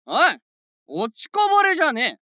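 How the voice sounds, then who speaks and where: A woman voicing a hot-headed, bewildered teenage boy in an anime voice drama, with rough boyish speech and blurted retorts. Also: sits high, wildly swooping pitch, brisk, pushed and bright, no room sound, studio quality, heavily acted. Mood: indignant.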